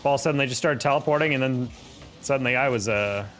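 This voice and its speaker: A man's voice: mid-range voice, fast talker, fairly bright tone. A man chatting casually with his viewers while playing a game on a live stream, exasperated and laughing often. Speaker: streamer and caster.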